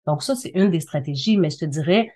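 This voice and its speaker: Woman, middle-aged, Quebec French accent, slightly low pitch, explanatory interview talk, consultant and trainer. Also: lively intonation, a little fast, mellow. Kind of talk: conversational interview, explaining her career.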